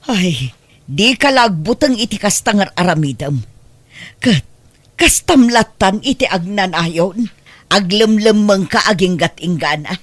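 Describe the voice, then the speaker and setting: A woman acting as a sorrowful Ilocano mother in a radio drama. She scolds and pleads with an emotive delivery, her pitch swinging widely. The recording is close and dry. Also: animated, a little slow, no echo. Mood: upset scolding. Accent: Filipino, Ilocano. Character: scolding, sorrowful mother of a wayward adult child.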